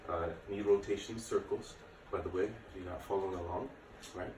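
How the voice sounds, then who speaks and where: Deep-voiced man, echoey distant recording. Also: steady tone, a little fast, darkish tone. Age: late 30s. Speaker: martial arts and fitness instructor. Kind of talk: casual workout instruction to camera. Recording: echoey room, distant mic, faint noise.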